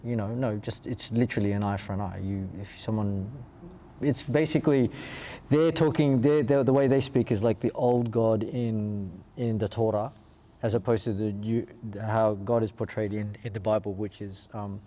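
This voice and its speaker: A man's voice: fairly low pitch, fairly even intonation, moderate pace, warm tone. A man from Perth with an Australian accent, telling their story in a casual, informal and slightly rambling way as an interview guest. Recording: clear background ambient noise.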